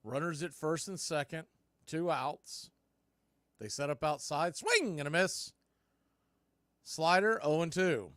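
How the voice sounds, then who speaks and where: High-pitched man calling baseball play-by-play. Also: expressive, slow, pauses often, a bit bright, no echo, clean studio sound. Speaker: play-by-play baseball announcer and stream host. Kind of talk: live play-by-play commentary.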